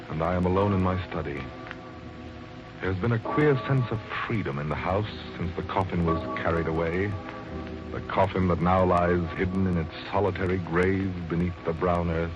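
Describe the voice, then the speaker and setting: A cold-blooded wife-murderer who narrates his crime in a calm, chilling, very deep voice. Music plays clearly beneath him on a muffled vintage radio recording. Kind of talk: 1940s radio acting.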